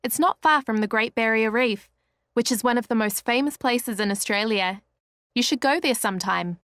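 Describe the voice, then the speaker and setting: Actress doing a friendly small town lady, highish voice, textbook read, dry. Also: normal speed, a bit bright, no room sound, natural voice. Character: friendly small-town woman describing home. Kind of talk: scripted textbook listening passage.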